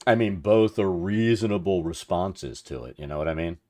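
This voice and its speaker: A middle-aged man with a deep radio-like voice, chatting casually and thinking aloud as he hosts a livestream for his viewers. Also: lively intonation, normal speed, mellow. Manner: rambling, informal, thinking aloud. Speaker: livestream host. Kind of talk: casual chat with stream viewers while working.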